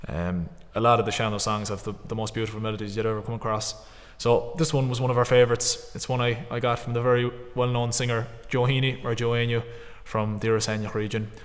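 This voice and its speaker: A man's voice: medium pitch, flat delivery, fast talker, few pauses, big-room sound. A young Irish-speaking man from Connemara with a Connemara Irish accent, talking earnestly from a stage about his music, with ums and restarts. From Conamara, Ireland. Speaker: traditional musician. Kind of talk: on-stage talk introducing songs.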